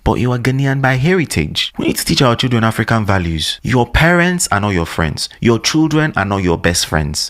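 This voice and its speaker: A man with a low voice. He gives opinionated commentary with animated energy. He fires off scolding rhetorical questions.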